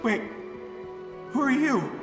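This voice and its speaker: An actor playing a weary father from an ancient age who searches for his daughter, speaking in a halting, resigned, plaintive way in a dramatic video game. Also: very high-pitched, animated, heavily acted. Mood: confused, distressed. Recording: long echoing reverb, game music underneath. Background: game music.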